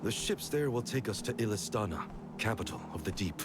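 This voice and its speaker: A man voicing a calm, solemn travelling companion with measured, formal speech in a fantasy video game. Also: on the low side, noisy background, natural voice. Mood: solemn, reassuring. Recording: game audio with music behind. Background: game music.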